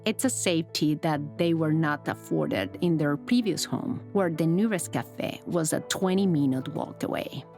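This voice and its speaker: A woman narrating a documentary report in a calm, descriptive, scripted manner. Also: low voice, moderate pace, hardly any pauses. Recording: studio voice-over with music bed.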